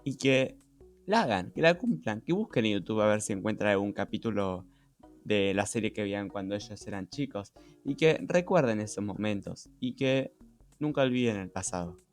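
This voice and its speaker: A man's voice: lowish voice, expressive, moderate pace. A man co-hosting a podcast, explaining things in a conversational way. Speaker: podcast co-host. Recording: music behind the voice.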